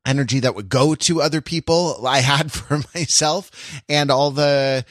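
Male podcast host, mid-pitched, casual and reflective, halting and repetitive delivery, very dry recording. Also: a bit bright, dry recording. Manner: rambling, halting, circles back repeating phrases.

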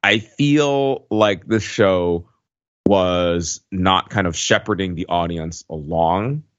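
A man's voice: deep voice, slow, dry recording, lo-fi sound. A man co-hosting a podcast, talking casually and analytically about pop culture, with lots of "like" and "right" in his phrasing. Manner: hedging, filler-heavy phrasing with frequent "like" and "right". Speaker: podcast co-host.

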